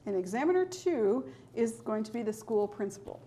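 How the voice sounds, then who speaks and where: A middle-aged woman examiner calmly explaining the stages of a spoken English test in a clear, measured way. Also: on the high side. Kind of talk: scripted test instructions.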